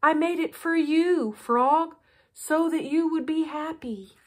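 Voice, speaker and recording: A woman's voice: high voice, slow, darkish tone, voice pushed up. A woman voicing an anxious, devoted male toad in a storybook, earnest and pleading. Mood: dejected.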